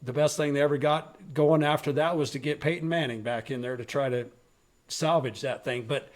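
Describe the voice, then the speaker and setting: Blunt, loud-talking man with a high voice. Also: fairly even intonation, a little fast. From rural western United States, raised on a ranch. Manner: blunt, forceful, swears freely, raises voice to make a point. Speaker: podcast host. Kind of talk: opinionated political talk.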